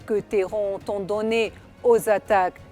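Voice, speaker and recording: A woman narrating a scripted news report in a formal, measured delivery. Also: mid-range voice, steady tone. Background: background music.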